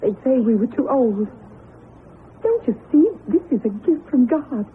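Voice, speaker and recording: A woman playing a middle-aged wife who longs for a child, speaking in a breathless, emotional, halting way in a radio drama. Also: a little high, animated. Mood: pleading, insistent. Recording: muffled lo-fi radio broadcast with noise.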